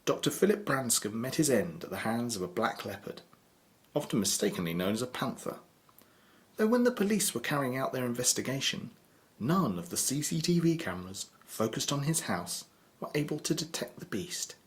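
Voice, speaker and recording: A British man narrates a scripted drama as a storyteller, theatrical and ominous. His mid-pitched voice rises and falls with a lot of variety. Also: big pitch swings, normal speed.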